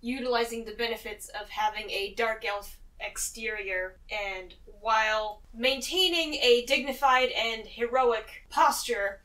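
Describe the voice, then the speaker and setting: Casting: female roleplaying player, slightly high voice, descriptive narration of character actions. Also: unhurried, hardly any pauses, sharp tone, rough recording.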